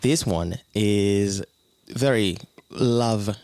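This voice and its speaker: A man from Uganda talking on the radio in a measured, hesitant way. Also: lowish voice, darkish tone, dry recording, studio quality. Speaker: radio co-presenter. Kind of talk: casual radio chat.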